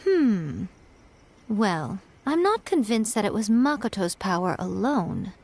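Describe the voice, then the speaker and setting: An actress playing a sly, worldly priestess who speaks with wry, skeptical teasing. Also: female voice, medium pitch, very animated, slow pace, creaky, darkish tone, a little hiss or hum, exaggerated voice. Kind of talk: game cutscene voice acting. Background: game music.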